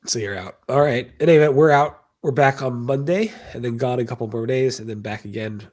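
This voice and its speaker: Fast-talking husky host. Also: male voice, mid-range voice, a little mellow. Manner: brisk, wrapping things up. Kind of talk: casual chat.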